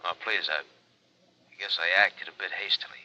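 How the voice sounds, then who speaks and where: An actor playing a hushed, menacing young male gangster through a telephone filter in 1950s radio drama. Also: deep voice, steady tone, normal speed, muffled recording. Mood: quietly menacing. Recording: thin, quiet telephone-filtered voice.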